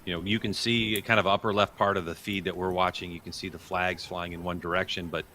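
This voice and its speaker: Man, live sports host, forceful bright delivery, background noise, watch-party commentary. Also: low voice, flat delivery, fairly quick.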